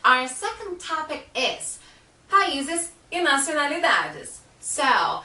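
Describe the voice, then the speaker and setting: Slow, lively Brazilian woman teaching. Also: high voice. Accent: Brazilian Portuguese. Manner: patient, explains slowly and repeats words. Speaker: language teacher. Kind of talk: language lesson. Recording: echoey room, mic at a distance.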